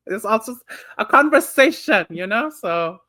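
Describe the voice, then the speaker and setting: A man's voice: high-pitched, pushed and bright. A man who grew up in Nepal, a guest on a podcast, chatting casually and teasing with frequent laughter. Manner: giggly, teasing, bursts of laughter.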